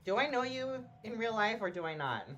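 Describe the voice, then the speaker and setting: A man's voice: high voice, very animated, fairly quick, a bit bright, lo-fi sound, slight background noise. A man casually commenting on a game he is playing live, chatting with viewers and greeting them by name, often laughing at himself. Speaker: streamer.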